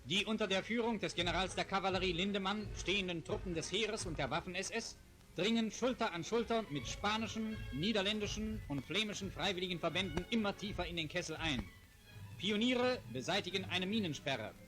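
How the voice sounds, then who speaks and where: A man's voice: high voice, animated, fairly quick, low-quality recording. A man reads scripted wartime newsreel narration in a formal, declamatory announcer style, on a 1940s film soundtrack. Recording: 1940s newsreel soundtrack, loud music behind. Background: loud music.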